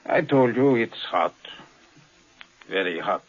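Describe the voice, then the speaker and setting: A man's voice: mid-range voice, expressive. An actor playing a bitter, guarded pilot in his thirties, speaking curtly in a 1950s radio drama. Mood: bitter, brooding. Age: 30s. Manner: curt, brooding, deliberate. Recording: dull, lo-fi 1950s radio recording.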